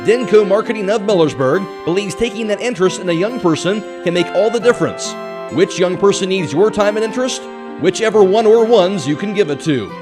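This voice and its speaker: An American man narrates a radio commercial from a script. His voice is notably high for a man, and music plays clearly underneath. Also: lively intonation, fairly quick. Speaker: radio ad announcer. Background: music bed.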